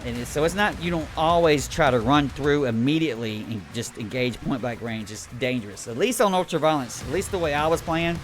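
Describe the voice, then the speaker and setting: A man's voice: medium pitch, brisk, few pauses, slight background noise. A man giving an unscripted, casual game review in a rambling, blunt, griping and sweary way.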